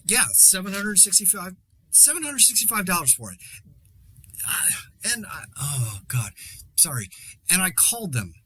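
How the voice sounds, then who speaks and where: A man's voice: sits high, sing-song, normal speed, long pauses, pushed and bright, slightly rough, exaggerated voice. A man playing an exasperated podcaster in a zombie outbreak, delivering a dry, sarcastic, rambling solo monologue in a conversational way. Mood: exasperated, ranting. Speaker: podcast host.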